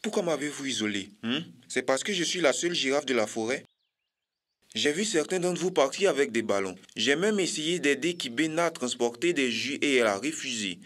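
A man voicing a lonely, sensitive giraffe uncle in a children's cartoon, speaking in a plaintive, expressive way. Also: medium pitch, fairly quick, natural voice. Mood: hurt, indignant. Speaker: cartoon character. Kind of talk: animated children's cartoon acting.

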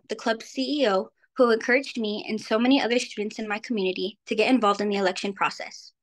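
Fast-reading teen girl, high voice. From New Mexico, USA. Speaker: youth speaker. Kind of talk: reading a prepared speech. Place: indoors, virtual background. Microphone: video call webcam.

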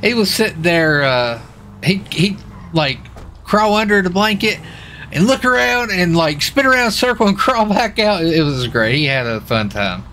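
A young man with a slightly high, bright voice chats casually over a game stream. He rambles and circles back on his own words. There is faint noise behind him. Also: expressive, moderate pace. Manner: rambling, repeats himself. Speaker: livestreamer and role-player. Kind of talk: casual chat while gaming.

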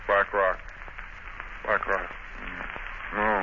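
A man's voice: low-pitched, little pitch movement, creaky, crowd noise, full character voice. Dazed henchman on tinny old radio. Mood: dazed, drowsy. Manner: halting, mumbling. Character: drugged, sleep-dazed henchman muttering confessions. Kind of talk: 1930s radio acting. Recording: tinny, noisy 1930s radio recording.

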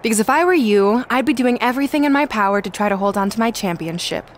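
A woman's voice: a little high, expressive, quick pace, slight background noise, close to an everyday voice. A woman playing a smug, self-assured fighter turned wrestling boss in a video game, taunting and self-important. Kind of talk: wrestling game cutscene acting.

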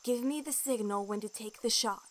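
A woman's voice: on the high side, moderate pace, lightly acted. A woman voicing a plucky young archer companion with a casual manner in a fantasy audio drama. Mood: curious, hushed. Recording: music behind the voice.

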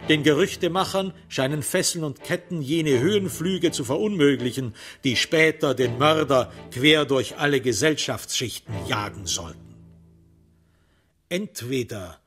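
A man narrating a literary crime radio play in a measured, dramatic way, with pointed pauses. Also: on the high side, fairly bright tone, soft background music.

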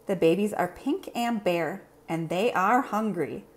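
A woman reading a children's picture book aloud in an expressive, simple storytelling manner. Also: medium pitch, measured pace. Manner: clear, simple, expressive delivery for young children.

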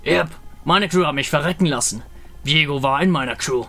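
A man's voice: on the high side, moderate pace, pushed and bright. Man acting a grim, terse undead pirate. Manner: terse, menacing. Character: eerie, murderous undead male pirate ghoul.

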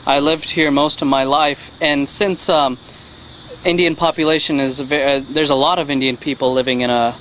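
A young man answering interview questions outdoors into a handheld mic, halting with many fillers. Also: on the high side, steady tone, a bit bright, slight background noise, low-quality recording. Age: young adult. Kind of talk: casual interview. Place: outdoors against a garage door. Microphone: handheld mic held by interviewer.

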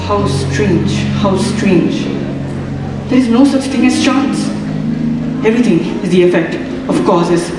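A man's voice: sits high, a little slow, heavy background noise. A young man playing an earnest, courteous male poet on a school stage, speaking in a formal, theatrical way. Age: young adult. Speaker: student actor. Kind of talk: stage acting in a school play. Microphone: far stage mic. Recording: echoey hall from far off, music behind.

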